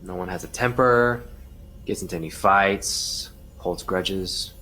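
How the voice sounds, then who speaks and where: A man acting as a calm, formal police detective who persistently questions a witness in a crime audio drama. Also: low-pitched, slow, full character voice, rough recording. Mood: calm, probing.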